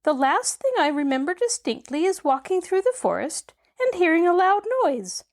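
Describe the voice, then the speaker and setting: A woman voicing a squeaky, fretful flying gump creature in an audiobook. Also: sing-song, moderate pace, full character voice. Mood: plaintive. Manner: high and plaintive. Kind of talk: audiobook character voice.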